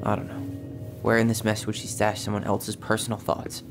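A man's voice: lowish voice, completely flat delivery, brisk, music in the background, natural voice. A man playing a guarded young twin brother with dry humor and a casual, slightly impatient manner in a video game. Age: young adult. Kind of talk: naturalistic video game dialogue acting.

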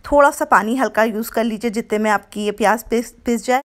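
A woman's voice: fairly high pitch, fairly quick. A woman hosting her cooking channel, explaining a home recipe step by step in a homely, repetitive way with frequent self-corrections. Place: home kitchen.

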